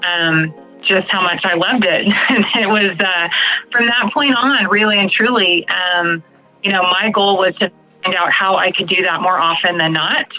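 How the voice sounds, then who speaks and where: A woman's voice: fairly low pitch, normal speed, pushed and bright, soft background music, poor audio. A woman in her forties from Texas with an American accent, telling her personal story conversationally as a podcast guest. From Texas, United States. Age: 40s.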